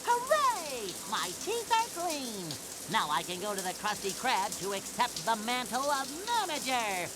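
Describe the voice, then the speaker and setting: A man's voice: very high voice, very animated, unhurried, heavy background noise, exaggerated voice. A man voicing a cheerful, eager, childlike sea sponge in a cartoon game, bubbly and earnest. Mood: excited, proud. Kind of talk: cartoon video game character acting. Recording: loud music behind the voice.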